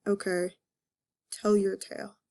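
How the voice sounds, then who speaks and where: A woman's voice: medium pitch, level tone, slow, creaky, warm tone, pitched below the performer's own voice. A woman voicing a hurt, accusing grown male fox, melodramatic and reproachful, in an amateur comic reading. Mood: stern.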